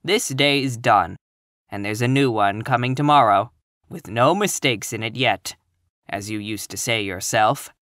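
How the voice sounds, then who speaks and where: A reader voicing Marilla, a brisk, stern older woman who speaks in a measured, matter-of-fact way. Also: medium pitch, fairly bright tone, no room sound, clean studio sound, lightly acted.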